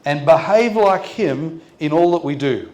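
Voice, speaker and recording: A man's voice: on the high side, lively intonation, measured pace. Older Australian man preaching in an echoey hall. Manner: gentle, speaks in short phrases for translation. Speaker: visiting pastor. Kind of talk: sermon through an interpreter. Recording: roomy hall echo.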